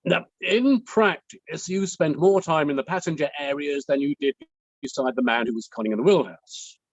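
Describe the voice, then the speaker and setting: A man playing a courteous, deliberate barrister who pauses as he cross-examines, in a table read of an inquiry play. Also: on the high side, dry recording. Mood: politely probing. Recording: dull, dry, lo-fi microphone.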